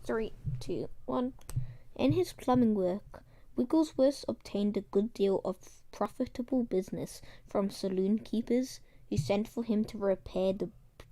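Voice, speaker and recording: A woman reading a book aloud in a halting way, stumbling over some words. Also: mid-range voice, dark tone. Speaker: guest reader.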